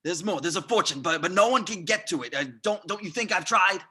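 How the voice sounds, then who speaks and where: A man's voice: sits high, animated, fairly quick, sharp tone, breathy edge, full character voice. A man playing a nervous, put-upon lawyer in debt, stammering and pleading in a comedy table read. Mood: flustered, cornered. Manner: stammering, flustered.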